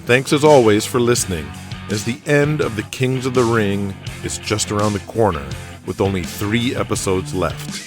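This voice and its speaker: A man with a low, deep voice delivers dramatic scripted narration. He tells the story as an audio-drama narrator, with clear music running underneath. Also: moderate pace.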